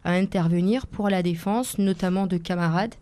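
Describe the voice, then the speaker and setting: A woman in her forties whose first language is Italian speaks as a guest on a radio interview. Her voice is mellow and soft, recorded close and dry in the studio, and she talks with frequent hesitations, fillers and careful restarts. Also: lowish voice, moderate pace, dry recording. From France, Seine-et-Marne. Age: 40s. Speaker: lawyer and author guest.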